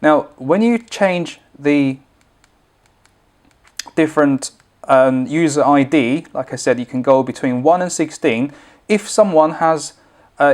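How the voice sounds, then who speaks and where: Man giving a step-by-step tutorial. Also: medium pitch. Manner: plain, instructional, step-by-step. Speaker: product tutorial presenter. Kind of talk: informal step-by-step demonstration.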